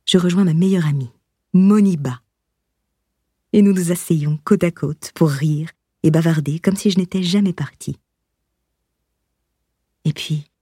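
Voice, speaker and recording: Low, husky, breathy woman reading an audiobook. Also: dark tone, no echo, clean studio sound. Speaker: audiobook narrator. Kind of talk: scripted audiobook narration of a memoir.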